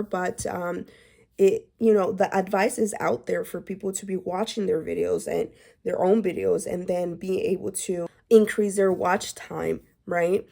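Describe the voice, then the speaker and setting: A woman's voice: mid-range voice, gravelly, warm tone. A woman YouTube creator talking casually to camera, rambling with lots of fillers and restarts. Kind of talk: casual vlog talk to camera.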